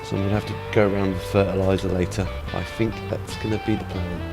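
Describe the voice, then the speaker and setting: Brief: male gaming host, lower voice, sniffly head cold, rambling chatty commentary, faint music underneath. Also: little pitch movement, fast talker, gravelly. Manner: chatty, rambling, many ums and uhs. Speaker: let's play host. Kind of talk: casual gameplay commentary.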